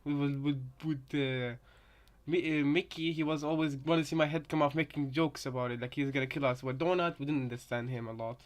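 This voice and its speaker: Higher-voiced man, tentative reaction chat. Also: fairly even intonation, a little fast. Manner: tentative, repetitive, rambling. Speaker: co-host reacting to game voice lines. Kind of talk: casual reaction commentary.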